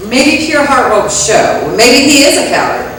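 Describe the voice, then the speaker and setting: A woman's voice: sits high, big pitch swings, a little slow. A woman playing a tough, sarcastic western saloon keeper, bossy and dry, in a radio comedy. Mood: matter-of-fact. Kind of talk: old-time radio comedy western acting. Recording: very echoey room, distant mic, noisy.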